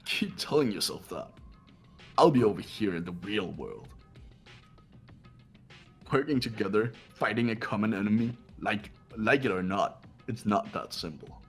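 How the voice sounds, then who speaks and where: A man plays a cynical teenage schoolboy from a video game, lowish in pitch and very animated in intonation. He is blunt and sardonic, over background music. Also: a little slow, breathy edge, full character voice. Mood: cynical, cold. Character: cynical, scornful male high school student. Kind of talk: amateur game voice acting.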